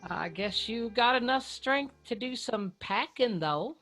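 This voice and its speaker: A woman's voice: fairly high pitch, animated, unhurried, a bit bright, soft background music. A woman playing a motherly, plain-spoken mountain matron with a Southern US accent in a radio drama. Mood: reassuring.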